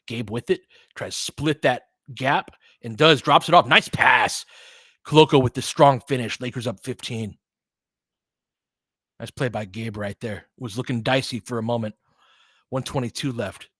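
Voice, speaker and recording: A man calling basketball play-by-play with quick, excitable calls and chatty asides, on a close mic at home. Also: mid-range voice, dry recording. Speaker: play-by-play commentator. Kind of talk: fan-made basketball play-by-play commentary.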